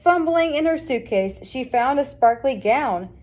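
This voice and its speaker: A woman with a fairly high voice narrates a children's storybook. She is reading aloud from a scripted tale meant for young listeners. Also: expressive, unhurried, darkish tone. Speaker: storybook reader.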